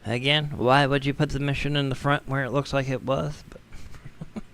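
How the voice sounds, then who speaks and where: Hoarse man grumbling through gameplay. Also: mid-range voice, level tone, normal speed, fairly bright tone. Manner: casual, grumbling, wry asides. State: hoarse, losing his voice. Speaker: gaming streamer. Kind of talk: live gameplay commentary.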